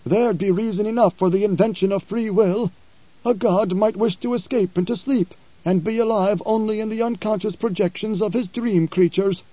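A weary blind preacher, aged beyond his years by the desert, speaks in a raised, solemn and commanding tone, as a man reading a novel puts him on. The voice is mellow and the sound is dry and a little dull. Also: steady tone, no echo. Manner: solemn, measured, raised pitch.

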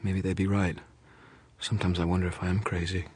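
A man voicing a frightened young mother who pleads and confesses in a rush, then drops to a hush. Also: low voice, level tone, slight background noise, voice pushed up, lightly acted. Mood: pleading. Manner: breathless pleading, rushing then hushed.

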